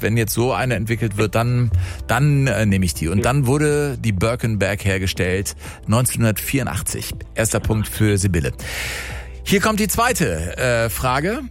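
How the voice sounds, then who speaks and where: A man hosting a radio phone-in quiz in a lively game show style. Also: mid-range voice, gravelly. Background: music bed.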